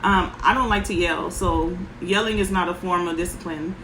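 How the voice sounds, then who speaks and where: A woman parenting coach with a soft voice, giving frank advice in short, emphatic sentences. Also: fairly low pitch, steady tone, moderate pace, roomy sound, slight background noise, poor audio. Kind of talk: casual advice talk.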